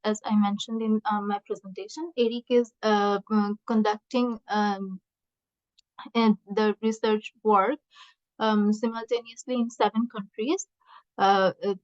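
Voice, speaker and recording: A woman researcher answering questions in an online meeting, speaking haltingly with many hesitant pauses. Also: medium pitch, a little mellow, dry recording. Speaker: researcher presenting a project. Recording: muffled lo-fi online call audio.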